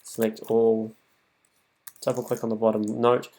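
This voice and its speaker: A man's voice: lowish voice, monotone, normal speed, warm tone. A man giving a casual, improvised music production tutorial, thinking aloud as he works.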